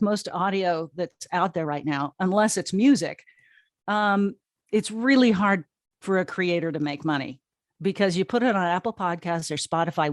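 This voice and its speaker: A woman's voice: mid-range voice, no room sound, studio quality. A woman explaining things casually as an interview guest, emphatic and often repeating words as she finds her phrasing. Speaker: interview guest, audio drama company co-founder.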